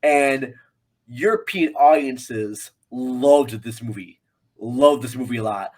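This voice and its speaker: A man speaking as a guest reviewer in a casual film discussion, halting and repeating himself for emphasis. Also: medium pitch.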